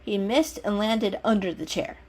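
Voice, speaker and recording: A woman's voice: medium pitch, normal speed, darkish tone, low-quality recording. A woman reading a children's story aloud as dramatic narration, in a storyteller's style.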